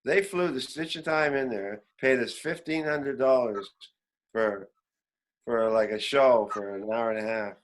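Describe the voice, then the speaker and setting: A Canadian man in his seventies telling rambling, animated stories in a casual, reminiscing way as an interview guest. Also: medium pitch, measured pace. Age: 70s. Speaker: veteran musician, interview guest. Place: remote online call.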